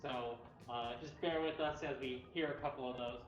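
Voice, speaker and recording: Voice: medium pitch, steady tone, moderate pace. A livestream co-host at a cat cafe, explaining things in a casual, informal way. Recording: dull, distant, echoey room audio. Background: clearly audible music.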